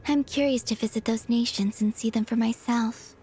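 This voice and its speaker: A woman voicing a gentle, wistful young moon goddess in a game cutscene, speaking softly and sincerely in a measured, breathy way. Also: fairly high pitch, steady tone. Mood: warm, fond.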